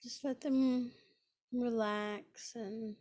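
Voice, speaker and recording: A woman leading a guided meditation in a gentle, soothing manner, pausing often between phrases. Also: a little high, steady tone. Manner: gentle, unhurried, with long pauses between phrases.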